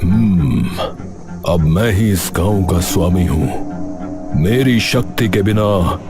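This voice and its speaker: A man's voice: sits low, slow, music in the background. A man playing an arrogant, power-hungry old sorcerer in a cartoon story, boastful and commanding. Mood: arrogant, domineering. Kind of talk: dramatic cartoon story acting.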